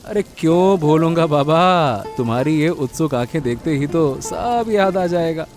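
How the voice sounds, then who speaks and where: Man playing lively, enthusiastic young village husband. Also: fairly high pitch, measured pace, faint music behind. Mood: affectionate. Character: affectionate, eager grown son and young husband. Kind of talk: cartoon story dialogue.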